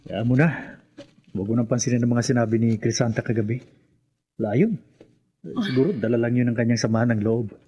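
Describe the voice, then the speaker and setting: A man's voice: mid-range voice, fairly quick, long pauses, warm tone, lo-fi sound, a little hiss or hum, close to an everyday voice. A man playing a reassuring, protective young sweetheart, earnest and coaxing, in a radio drama.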